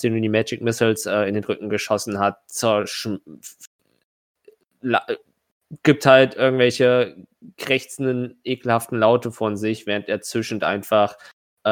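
A man speaking as game master, narrating a tabletop role-playing game casually and haltingly as he searches for words. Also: on the low side, clean tone, no room sound.

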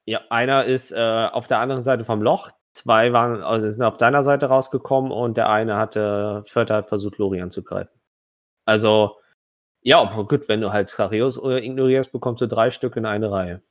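A man with a fairly low voice acts as game master in a tabletop role-playing session. He narrates casually and searches for his words, in a very dry, close-sounding space. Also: steady tone, normal speed, no echo.

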